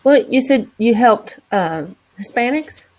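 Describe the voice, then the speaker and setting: A woman's voice: fairly high pitch, lively intonation, unhurried, a little mellow, rough recording. A woman from Peru asking a guest questions in a hesitant way, trailing off between phrases. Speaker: panelist. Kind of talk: casual conversation.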